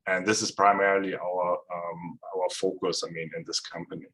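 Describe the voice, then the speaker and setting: Low-voiced German-accented man presenting. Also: moderate pace, low-quality recording. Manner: relaxed, explanatory, with frequent fillers. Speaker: guest presenter. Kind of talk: casual technical presentation. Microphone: video call.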